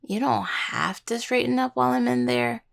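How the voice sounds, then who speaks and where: Creaky-voiced, halting young necromancer played by a woman. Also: fairly high pitch, steady tone. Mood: teasing, affectionate. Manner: halting, stammering, apologetic. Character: grieving young female necromancer, tender, apologetic, affectionate partner. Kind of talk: intimate audio roleplay addressed to the listener.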